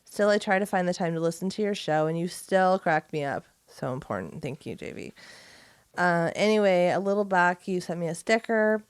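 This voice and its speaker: A woman's voice: lowish voice, moderate pace, clean tone, no echo. A woman co-hosting a podcast in casual, chatty conversation, sometimes reading aloud. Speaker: podcast co-host. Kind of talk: casual chat, reading listener mail aloud.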